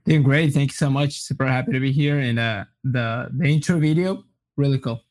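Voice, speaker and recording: A young man from Colombia, a native Spanish speaker, answering interview questions in a relaxed way with many fillers and restarts. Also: mid-range voice, brisk. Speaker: guest. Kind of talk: casual interview.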